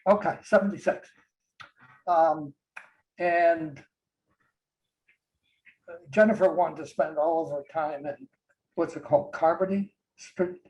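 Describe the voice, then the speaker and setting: An older man on a video call gently reminiscing about his daughter, speaking slowly with soft chuckles. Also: a little high, steady tone, poor audio. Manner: slow, gentle, warm storytelling with soft chuckles. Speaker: guest sharing memories. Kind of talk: casual reminiscing.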